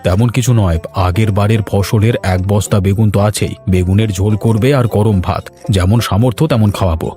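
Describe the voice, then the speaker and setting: A man's voice: on the low side, normal speed, warm tone, soft background music, lightly acted. A man playing a proud, cheerful middle-aged village father in a cartoon, plainly telling his family what to do. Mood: happy, proud. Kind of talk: Bengali moral-story cartoon dubbing.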